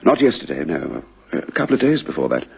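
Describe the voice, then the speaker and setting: An actor playing a nervy, evasive murder suspect in a radio crime drama, hesitant and defensive. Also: male voice, deep voice, sing-song, a little fast, gravelly, slightly rough, full character voice. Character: evasive, nervy male friend of murdered gambler, under questioning. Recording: dull vintage radio recording.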